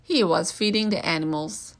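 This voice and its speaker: A woman's voice: lowish voice, moderate pace, lightly acted. Woman voicing a stern, scolding male zookeeper. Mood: angry, urgent. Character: stern male zookeeper chasing a naughty monkey.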